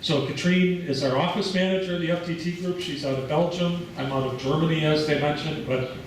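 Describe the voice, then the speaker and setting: A man's voice: fairly high pitch, fairly quick, a little hiss or hum. A man with an American accent giving a conference presentation, explaining at an easy pace with some fillers. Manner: relaxed explanatory speaking with fillers. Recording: echoey hall, mic at a distance.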